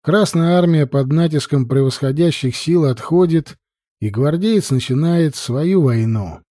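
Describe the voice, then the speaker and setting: A man's voice: a little high, a little slow, warm tone, no room sound, clean studio sound. A man reading a novel aloud as scripted audiobook narration.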